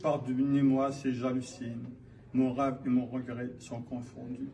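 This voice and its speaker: A man from Kabylia in Algeria declaiming his own poetry in a solemn, measured manner. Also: medium pitch, flat delivery, warm tone. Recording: distant mic, faint background noise.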